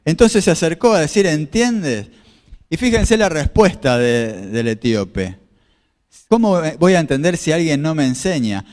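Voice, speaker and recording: A man's voice: a little high, sing-song, a little slow, a little mellow, big-room sound. A man preaching a sermon as a pastor, explaining scripture and asking his listeners questions. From Argentina. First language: Spanish. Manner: explanatory, repeats phrases, asks the audience questions. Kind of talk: sermon with slides.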